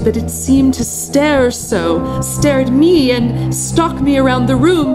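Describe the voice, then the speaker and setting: An actress playing a deranged, proud, fastidious housekeeper in a gothic radio drama, theatrical and insistent. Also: female voice, fairly high pitch. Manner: theatrical, insistent, unravelling into agitation. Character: deranged, proud, fastidious housekeeper who has killed an old man. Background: music under the voice.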